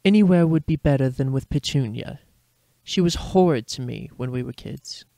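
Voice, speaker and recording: A man's voice: medium pitch, lively intonation, moderate pace, vocal fry, warm tone, dry recording. A man voicing characters in a dramatic reading of a fan fiction story. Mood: stern, disapproving.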